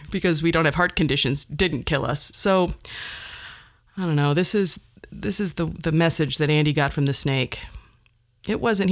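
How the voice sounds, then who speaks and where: A woman hosting a podcast, telling comic personal stories in a playful, conversational way. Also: sits low. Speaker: podcast host. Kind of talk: comedic personal storytelling podcast.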